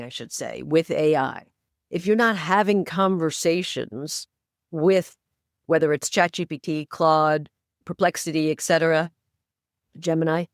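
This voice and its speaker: A woman's voice: deep voice, no echo. Casting note: woman, 60s, advocate guest, emphatic and persuasive, dry close sound. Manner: emphatic, persuasive, urging listeners on. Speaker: podcast guest, inclusive AI advocate. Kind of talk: conversational interview.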